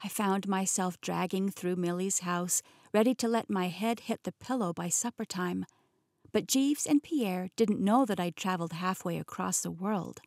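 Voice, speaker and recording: A woman narrating a first-person fantasy audiobook in a clear, lightly wry storytelling manner, close to the mic. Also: on the low side, normal speed, a little mellow, dry recording.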